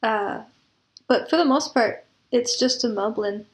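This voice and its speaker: A woman's voice: a little high, measured pace. A woman speaking as a guest in a conversational interview, explaining her views in a reflective way. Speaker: podcast guest, composer and audio producer.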